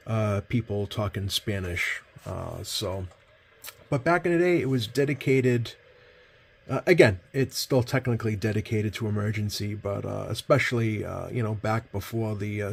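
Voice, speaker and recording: A man's voice: on the low side, creaky. A man giving a casual, hands-on product demonstration as a host, hesitant and rambling, often correcting himself. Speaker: host, CB radio collector. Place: indoors at a workbench.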